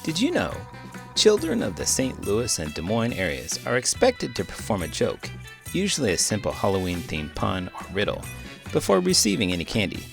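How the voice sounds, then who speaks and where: A man hosting a children's read-aloud, speaking in an expressive, playful storytelling style. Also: fairly low pitch, moderate pace, creaky, soft background music. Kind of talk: lively children's storytelling and chat.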